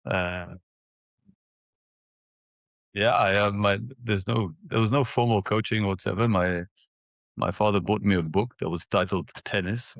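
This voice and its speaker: A man in his forties from Ethiopia and Mauritius reminiscing in a casual interview, haltingly, repeating words as he thinks. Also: on the low side, fairly even intonation, no echo. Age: 40s. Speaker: interview guest, former professional tennis player.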